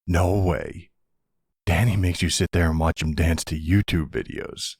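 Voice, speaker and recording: Man acting as suave middle-aged mob boss, very deep, gravelly, intimate, flirtatious, ASMR roleplay. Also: fairly even intonation, a little slow, darkish tone, no room sound, clean studio sound, heavily acted. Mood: teasing, flirtatious.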